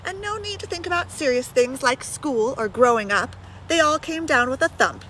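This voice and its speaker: High, animated woman reading a children's story. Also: moderate pace. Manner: animated, addresses young listeners with questions. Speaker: storyteller reading a children's book aloud to listeners. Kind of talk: expressive children's read-aloud with chatty asides. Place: outdoors in blustery wind. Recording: very noisy, windy outdoor recording with traffic. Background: wind, urban ambience, vehicles.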